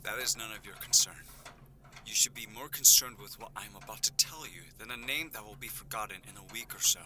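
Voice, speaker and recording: A man's voice: fairly low pitch, brisk, bright, forceful tone, slight background noise. Hard-edged, menacing syndicate henchman. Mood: irritated, threatening. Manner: stern, condescending, threatening. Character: curt, menacing male crime syndicate henchman. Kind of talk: audio drama acting.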